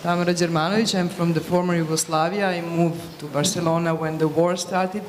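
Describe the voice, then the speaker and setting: A woman from Serbia asks a panel a question in a halting way, stopping often to search for words. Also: fairly low pitch, steady tone, reverberant, slight background noise. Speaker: audience member asking a question. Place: conference hall.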